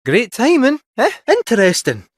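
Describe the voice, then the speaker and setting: Small robotic cat, Scottish accent, high animated pitch swings, chirpy and wily, folksy game dialogue, dry close recording. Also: male voice, moderate pace, dry recording, heavily acted. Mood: breezy, teasing. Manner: theatrical, folksy, chatty. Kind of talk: scripted video game character dialogue.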